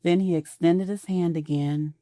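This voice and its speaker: A woman narrating an audio drama in a soft, measured storytelling voice, recorded on a close studio mic. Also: on the low side, fairly even intonation, no room sound.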